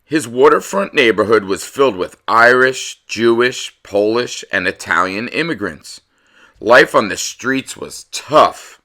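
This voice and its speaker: A man reading a true story aloud for children in a measured, deliberate teacher's manner. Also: mid-range voice, animated, sharp tone.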